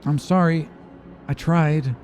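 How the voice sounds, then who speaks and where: A man's voice: a little high, fairly even intonation, takes it slowly, darkish tone, faint music behind. A man voicing a nonchalant, slyly unhelpful female antagonist in a tabletop game.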